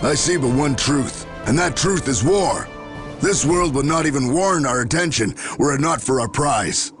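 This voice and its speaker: A man's voice: on the high side, expressive, moderate pace, natural voice. A man playing a stern, battle-hardened general in a video game cutscene, speaking with grave, commanding military oratory. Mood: grim, resolute. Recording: music behind the voice.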